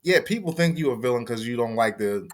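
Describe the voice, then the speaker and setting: Easygoing male host, slangy and quick to laugh, his pitch moving a lot. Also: lively intonation. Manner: laid-back, laughs easily, slangy. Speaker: podcast host. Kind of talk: casual chat.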